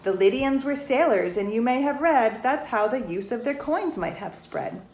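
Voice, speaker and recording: A woman with a fairly high voice reads a rhyming picture book to children. Her delivery is expressive, with asides and questions to the listeners. The sound is echoey and roomy, with slight noise behind her. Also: normal speed. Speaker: bank employee reading a storybook to children. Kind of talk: children's storybook read-aloud, rhymed verse with asides to listeners. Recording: roomy echo, faint background noise.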